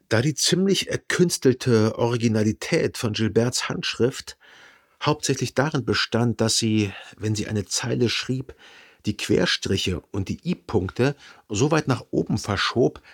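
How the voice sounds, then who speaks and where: A man playing a reflective son who narrates his memories in a radio drama, with a husky voice and measured, contemplative delivery. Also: fairly low pitch, heavily acted. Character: reflective literary narrator, a grown son remembering.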